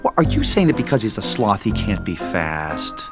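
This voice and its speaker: A wisecracking cartoon animal. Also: male voice, lowish voice, big pitch swings, measured pace, music in the background. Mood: teasing.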